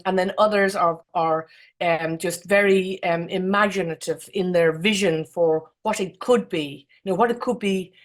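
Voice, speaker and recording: A woman with an Irish accent giving informal, effusive remarks as a jury member, often repeating words as she goes. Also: fairly low pitch. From Ireland. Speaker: competition jury member, architect. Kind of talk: informal remarks at an online awards gathering.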